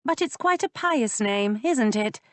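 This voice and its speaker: A woman voicing a quick-tempered, sharp-tongued young lady who speaks in a tart, exasperated way. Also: fairly high pitch, animated, dry recording, pitched above the performer's own voice.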